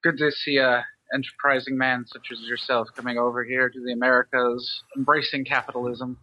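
A man's voice: mid-range voice, level tone, a little fast, bright, forceful tone, no echo, lo-fi sound. A man playing a tabletop game, joking in a wry, dry way. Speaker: player. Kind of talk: casual tabletop play.

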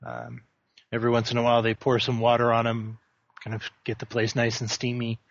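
A man running a tabletop game as game master, narrating with many pauses and fillers. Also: medium pitch, monotone, creaky, no echo. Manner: halting, many ums and uhs. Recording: dry, dull lo-fi call audio.

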